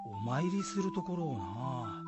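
An actor playing a timid, doubting, easily swayed village husband in a radio drama, folksy and fretful. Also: male voice, medium pitch, very animated, measured pace, over loud music. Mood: pondering.

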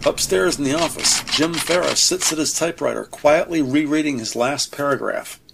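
A man's voice: fairly high pitch, normal speed, gravelly. A man narrating an audio drama, reading scripted scene description in the present tense. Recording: noisy, sound effects behind voice.

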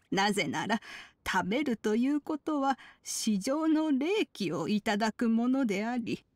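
Slow-speaking, wise old lady. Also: fairly high pitch, a little mellow. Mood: wistful, reverent. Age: elderly. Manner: measured, old-fashioned elder speech. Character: wise, gentle old woman, mentor with ancient memories. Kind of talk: Japanese game voice acting.

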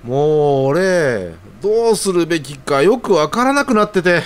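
An actor playing an earnest, flustered young office junior in love in an audio drama, eager and hesitant in casual polite speech. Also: male voice, fairly high pitch, sing-song, a little slow. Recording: audible background noise.

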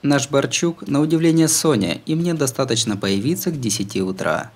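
A man's voice: mid-range voice, expressive, fairly quick. A man narrating a first-person novel in a wry, conversational way. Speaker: audiobook narrator. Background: music behind the voice.

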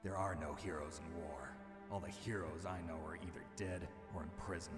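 A low, gruff American male mercenary speaks in a video game cutscene with long reverb and music underneath. He is jaded and stoic, delivering terse, blunt lines in deadpan fashion. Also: normal speed. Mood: bleak, jaded. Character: jaded, stoic male mercenary soldier, hard-bitten loner. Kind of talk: dramatic game cutscene dialogue.

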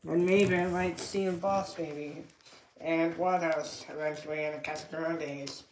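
A woman chatting casually and unscripted about toys, in a halting, repetitive way that trails off. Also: deep voice, steady tone, darkish tone. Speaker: toy reviewer. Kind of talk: casual unscripted toy review chat. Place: indoor room with hard tiled floor. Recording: echoey room, background noise, clicks.

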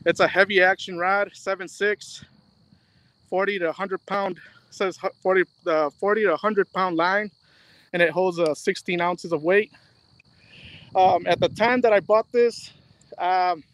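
A man's voice: high-pitched, a bit bright. A man on a video call from a riverbank at night, talking casually about fishing gear and saying "man" a lot. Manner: laid-back, says "man" often. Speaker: guest angler.

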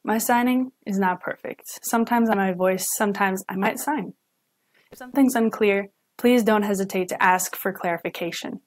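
A young woman, a student interpreter, voicing a signed lecture live at home into a webcam, pausing and correcting herself as she goes. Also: medium pitch. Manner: halting, with self-corrections and restarts. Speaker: student sign language interpreter.

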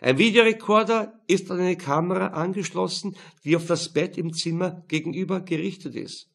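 A man voicing a calm, fat police detective in an audiobook, explaining evidence matter-of-factly. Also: high-pitched, normal speed, vocal fry, husky, voice pushed up, full character voice.

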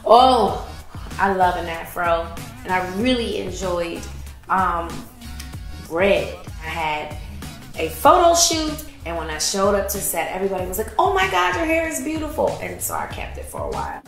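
A woman's voice: lowish voice, wildly swooping pitch, moderate pace, echoey room, faint music behind. A woman talking casually and enthusiastically to camera about her hairstyles. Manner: bubbly, enthusiastic. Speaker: actress sharing hair tips.

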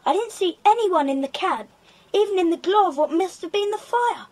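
Eager, good-natured British boy for children's drama, extremely high voice. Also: lively intonation, moderate pace, a little mellow. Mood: puzzled, excited. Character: eager English schoolboy adventurer, chatty and good-natured. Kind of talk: children's audio drama acting.

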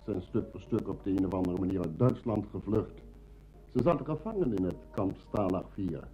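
A man's voice: low voice, animated, a little fast, mellow, faint music behind. A man playing a talkative, deferential hotel employee in a Dutch radio play. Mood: chatty, confiding. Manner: talkative, eager to inform. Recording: dull old radio play recording.

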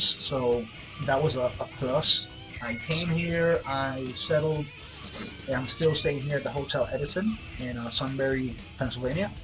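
A man's voice: medium pitch, rough recording. A man in his fifties with a stuffed-up, congested voice, giving a rambling webcam update to his gaming channel's viewers, with lots of fillers and restarts. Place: small room with wood-panel wall. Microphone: webcam at desk. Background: guitar music playing.

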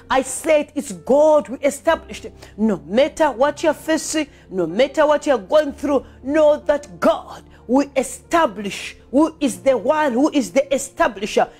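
A high-voiced woman preaches a fervent sermon over faint music. She exhorts her listeners with emphatic repetition, moving between scripture and prayer. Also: expressive, measured pace, few pauses, a little mellow.

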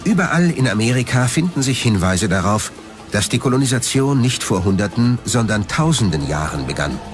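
A man's voice: medium pitch, sing-song, fairly quick, music in the background. A man reading scripted documentary narration as the narrator.

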